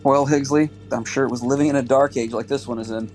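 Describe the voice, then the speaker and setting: A man's voice: medium pitch, steady tone, quick pace, darkish tone, close to an everyday voice. A man plays a young alien trainee in an audio drama. He sounds questioning and hesitant, naive yet a little snobbish, with faint music behind the voice. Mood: skeptical.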